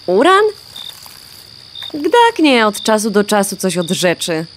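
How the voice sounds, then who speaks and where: A woman's voice: mid-range voice, wildly swooping pitch, slow, a little hiss or hum. A woman playing a naive, smitten, easily flustered hen in a children's audio play. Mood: flustered, pleading. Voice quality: clucking. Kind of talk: children's audio drama acting.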